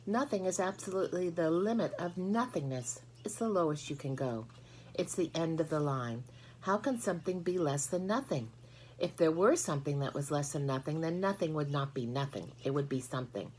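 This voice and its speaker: A woman's voice: sits low, a little mellow, a little hiss or hum. A woman voicing a lonely, earnest young male pig in a storybook, with a pleading manner. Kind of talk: storybook character voice.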